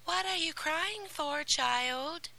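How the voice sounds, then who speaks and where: A woman playing a brisk, silvery fairy godmother. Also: sits high, voice pushed up, heavily acted. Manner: bright, commanding, encouraging.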